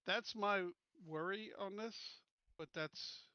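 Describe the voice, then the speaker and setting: A man's voice: high-pitched, slow pace, sharp tone, clean tone, no echo, lo-fi sound. A man chatting casually as a tabletop game player, reasoning aloud in an informal way.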